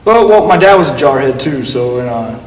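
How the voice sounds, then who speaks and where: A man's voice: mid-range voice, expressive, moderate pace, recorded from a distance. A man being interviewed as a guest, telling long stories in a casual, rambling way with lots of fillers. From United States. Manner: rambling, full of fillers and acted-out quotes. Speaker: guest wrestler. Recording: echoey room heard from afar with faint noise.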